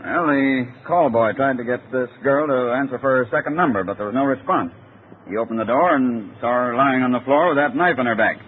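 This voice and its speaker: An actor playing a deferential theater manager with a measured, slightly hesitant manner in an old-time radio drama. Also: male voice, mid-range voice, close to an everyday voice. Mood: polite, measured. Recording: tinny, noisy old radio recording.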